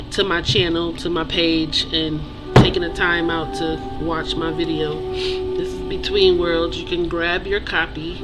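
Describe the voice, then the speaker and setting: An author presenting their own book in a nervous, halting voice with small stumbles and self-corrections. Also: medium pitch, poor audio. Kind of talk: read-aloud fiction, then casual promotion. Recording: music playing behind voice. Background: music.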